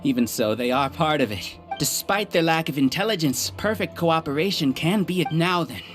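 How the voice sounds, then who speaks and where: A cold video game overlord, with a very deep female voice that swings in pitch. The delivery is grandiose and condescending, with music playing faintly behind it. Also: lively intonation, moderate pace. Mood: menacing. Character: arrogant hive-mind villain, cold and scheming overseer. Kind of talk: scripted video game voice acting. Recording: voice over background game music.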